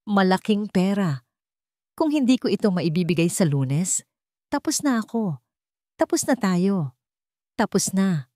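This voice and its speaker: A woman's voice: medium pitch, very animated, a little slow, pauses often, a little mellow, dry recording. A ruined, desperate husband acted out by a woman in a romance audio story. His voice is cracked and broken, pleading through trouble, in a dry recording.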